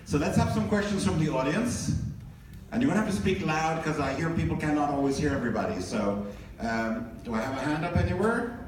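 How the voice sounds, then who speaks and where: A man's voice: on the high side, lively intonation, fairly quick. A middle-aged man moderating a film Q&A on stage, chatty and enthusiastic. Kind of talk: live Q&A moderating. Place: large cinema stage. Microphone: handheld mic heard from the audience. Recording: echoey theater recorded from far, with audience noise.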